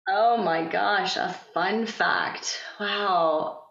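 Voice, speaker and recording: A woman whose first language is Farsi, a podcast guest talking in a thoughtful, gentle way and laughing easily. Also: lowish voice, extremely slow, vocal fry, reverberant, muffled recording. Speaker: podcast guest, parent coach. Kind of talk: casual interview chat.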